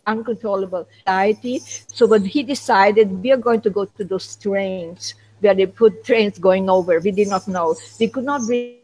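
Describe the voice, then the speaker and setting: Older woman, German native, lively storyteller. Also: mid-range voice, normal speed, hardly any pauses, poor audio. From Romania. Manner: lively, animated storytelling with broken phrasing. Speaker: interview guest. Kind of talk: telling her life story. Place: home living room with people behind.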